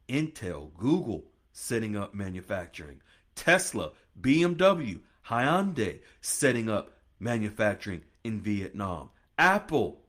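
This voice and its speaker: A man's voice: on the low side, fairly bright tone. A man hosting a finance podcast, talking straight to listeners in an emphatic, preachy monologue that keeps repeating points and calling them "folks.".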